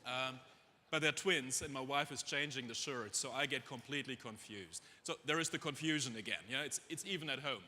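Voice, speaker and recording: A man's voice: mid-range voice, fairly quick, bright, forceful tone. A middle-aged man with a German accent giving an informal, humorous conference talk, self-deprecating and chatty with asides to his audience. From Germany, raised in Dubai. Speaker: chief executive speaking on stage. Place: on stage in a large conference hall. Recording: echoey conference hall, long reverb.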